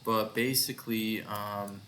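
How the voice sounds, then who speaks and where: Male developer, casual screen demo: low-pitched, very unhurried, hesitant thinking-aloud delivery, faint noise behind. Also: steady tone, pushed and bright. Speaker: developer presenting own project.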